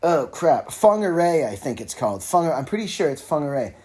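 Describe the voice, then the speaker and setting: A man's voice: a little high, big pitch swings, a little fast, a little mellow. Rambling man, casual solo podcaster. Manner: rambling, self-interrupting, wry informal asides. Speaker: podcast host. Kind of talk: casual solo rambling talk about music. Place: informal solo recording with a phone at hand.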